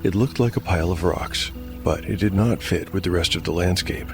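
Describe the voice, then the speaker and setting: Low, gravelly male storyteller over music. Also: fairly quick. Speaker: solo game master and narrator. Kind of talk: dramatic storytelling narration with game commentary. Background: prominent music.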